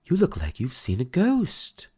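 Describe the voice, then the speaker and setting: A sly, teasing young fox, as a man reading a picture book puts him on. His voice sits well above the reader's deep tone, unhurried and mischievous. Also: wildly swooping pitch, mellow, slightly rough, exaggerated voice.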